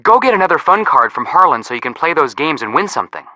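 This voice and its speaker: A man's voice: on the high side, sing-song, fast talker, sharp tone, no echo, exaggerated voice. An actor playing a playful, wisecracking young male detective in scripted video game hint dialogue. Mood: breezy, encouraging.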